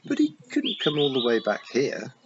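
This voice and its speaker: A man's voice: mid-range voice, normal speed, voice pushed up. A man voicing a thoughtful young girl who speaks earnestly. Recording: muffled with steady hiss.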